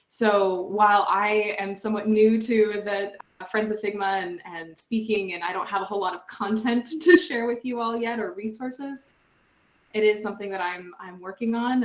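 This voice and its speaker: A woman's voice: mid-range voice, echoey room. A woman panelist on a video call from a room with a brick wall, introducing herself in an upbeat, friendly, chatty way.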